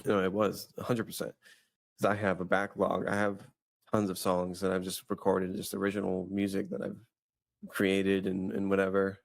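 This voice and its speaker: Very deep gravelly-voiced man, casual podcast. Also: monotone, moderate pace, dry recording. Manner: rambling, many restarts and fillers. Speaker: podcast host. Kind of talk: casual chat.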